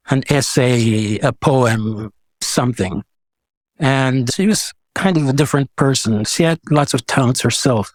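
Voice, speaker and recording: A man's voice: mid-range voice, no room sound. Older man, dry close recording. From Guyana. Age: 70s. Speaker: guest, visual artist. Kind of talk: casual reflective interview talk.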